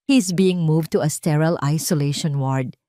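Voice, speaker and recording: A woman's voice: low voice, normal speed, warm tone, dry recording, close to an everyday voice. A proud, commanding male doctor in an audio drama, acted out by a woman narrator. He is a desperate father who speaks in terse, insistent sentences with a Filipino accent, in a very dry recording. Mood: bitter, cold.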